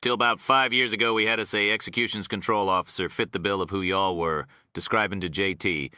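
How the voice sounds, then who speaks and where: A man's voice: on the low side, steady tone, fairly quick, pushed and bright, no room sound. A man voicing a retired Texan prison officer in an audiobook, an older man with a folksy, rambling drawl. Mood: folksy, helpful. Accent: Texas.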